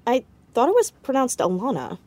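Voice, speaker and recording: A woman's voice: fairly high pitch, huge pitch swings, normal speed, darkish tone. A woman playing an anxious, determined teenage girl sleuth in an audio drama, speaking hesitantly but insistently. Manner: hesitant, stammering yet insistent. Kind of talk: naturalistic audio drama acting.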